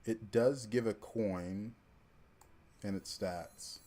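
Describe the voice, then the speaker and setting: A man's voice: fairly low pitch, drawn-out words, warm tone. A young man from Georgia streaming a card game, chatting casually and thinking aloud with lots of fillers. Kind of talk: casual livestream commentary.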